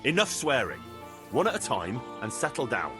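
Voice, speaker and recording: A medieval bailiff in a game cutscene. He has a mid-pitched voice with lively inflection, plain-spoken and authoritative. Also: moderate pace, music in the background. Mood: firm, stern. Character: young-hearted medieval bailiff, practical, firm, fair. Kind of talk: video game cutscene acting.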